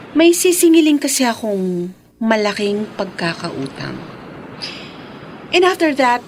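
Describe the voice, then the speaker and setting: A wealthy, vengeful widow in a radio drama. She schemes coolly and taunts theatrically, slipping into English, with slow, swooping delivery over a faintly noisy recording. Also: mid-range voice. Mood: smug, charming. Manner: theatrical, taunting, mixes English into her talk. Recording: clear background noise.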